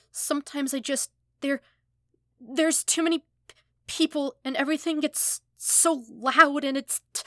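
Anxious teenage boy, played by a woman narrator, raised pitch, halting stammer, apologetic, for an audio story. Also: fairly bright tone, dry recording, clean studio sound.

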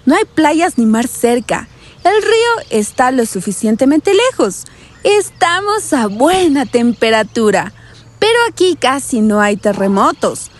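Woman acting a high-pitched, sassy vacationer. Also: very animated, measured pace, heavily acted. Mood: relaxed, carefree. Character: breezy, skeptical female vacationer, easily annoyed. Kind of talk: scripted audio drama acting.

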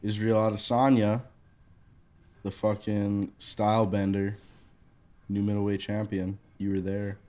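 Laid-back joking podcast man, low voice. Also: monotone, unhurried, pauses often, a little mellow. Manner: laid-back, jokey, swears casually. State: congested from a sore throat. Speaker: podcast co-host. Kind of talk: casual chat.

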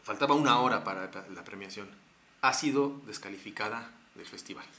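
Bright-voiced Mexican man rambling through a story. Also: on the low side, brisk, echoey room, a little hiss or hum. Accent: Mexican Spanish. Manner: rambling, many fillers and restarts. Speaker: guest telling a story. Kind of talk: casual storytelling, slangy.

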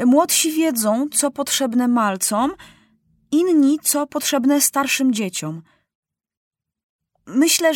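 A woman voicing an earnest, idealistic young boy king who makes a slow, careful speech. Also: sits high, no echo, studio quality, pitched above the performer's own voice.

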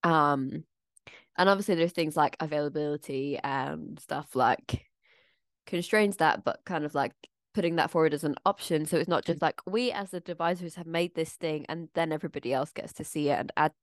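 A woman's voice: low-pitched, normal speed, no room sound, clean studio sound. A woman co-hosting a casual podcast, a theatre maker talking through her plans in a thoughtful, explaining way. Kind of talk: casual chat.

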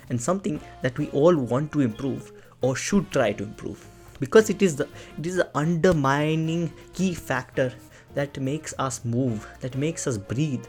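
A man's voice: medium pitch, a little mellow. A young man hosting his own podcast, talking in a rambling, chatty, self-mocking way, often asking "right?" and "you know?". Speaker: podcast host. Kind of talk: casual solo podcast monologue.

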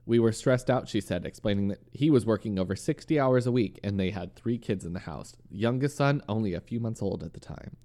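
A man's voice: lowish voice, lively intonation, fairly quick, few pauses, dark tone. A man with a slight lisp co-hosting a podcast, reading a news story aloud between banter and swearing when flustered. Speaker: podcast co-host.

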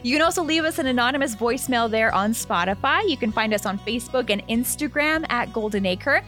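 A woman's voice: a little high, expressive, a little fast, a bit bright, soft background music. A woman with a Canadian accent co-hosting a gardening podcast in casual, informal, bubbly chat.

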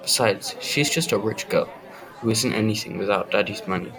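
A man's voice: on the low side, normal speed, crowd noise, lightly acted, poor audio. A young male student playing a defensive, stubborn teenage basketball player in an audio drama.

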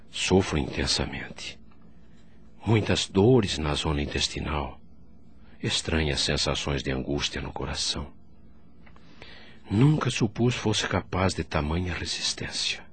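An actor playing a remorseful doctor in the afterlife, telling his story gravely and sorrowfully in a Brazilian radio drama, with a Brazilian accent. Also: very deep voice, lively intonation, a little slow, long pauses, fairly bright tone, slight background noise, exaggerated voice. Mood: suffering. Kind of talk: dramatic first-person radio narration.

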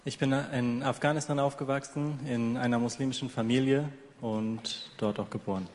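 A man's voice: medium pitch, fairly even intonation, normal speed, a little mellow, a little hiss or hum. A man working as a live interpreter, relaying a sermon passage by passage in a church. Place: large echoing church hall. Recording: long hall reverb.

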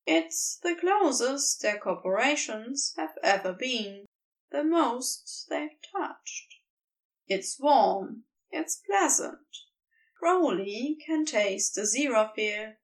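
High-pitched woman narrating slowly. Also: lively intonation, distant mic, low-quality recording. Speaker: fan fiction audiobook reader. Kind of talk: scripted narration of a tender romantic story.

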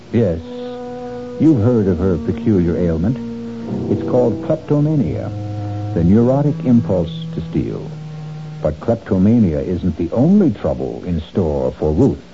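A male host narrating a mystery introduction in a dramatic, measured storytelling manner. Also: lowish voice. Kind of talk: scripted narration. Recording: dull lo-fi 1970s radio broadcast with music. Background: music.

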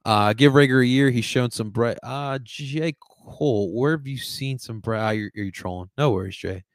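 A man's voice: mid-range voice, normal speed, no echo. A talkative man with a light Latin American Spanish accent hosts a casual sports stream, stumbling over and repeating words as he answers his viewers. His mid-range voice is recorded close and dry, with no room sound. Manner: rambling, repeats words, laughs often, chats to viewers. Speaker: sports podcast host reading live chat.

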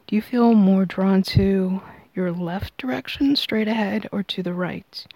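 A woman hosts a tarot reading in a gravelly, mellow voice at a middling pitch. She explains things in measured, unscripted phrases. The sound is close and dry, with very little room to it. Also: dry recording. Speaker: tarot reader and podcast host.

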